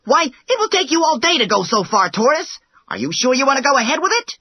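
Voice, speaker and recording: A woman voicing a boastful, energetic male hare who mocks others in a children's storybook. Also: high-pitched, brisk, breathy edge, no echo, clean studio sound, exaggerated voice. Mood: amused, mocking. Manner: cocky, exclaiming, scornful. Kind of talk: children's storybook character acting.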